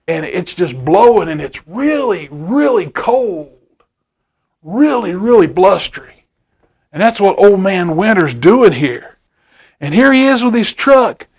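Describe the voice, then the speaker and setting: Library storytime reader, male, chatty and question-filled. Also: sits high. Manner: chatty, asks children questions, repeats words. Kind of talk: reading a picture book to children.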